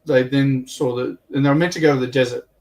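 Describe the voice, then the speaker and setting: A man's voice: mid-range voice, fairly even intonation, fast talker, a little mellow. A man chatting informally and anecdotally as a panelist on a livestream, picked up by a distant microphone. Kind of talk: casual chat about military history and tabletop wargaming.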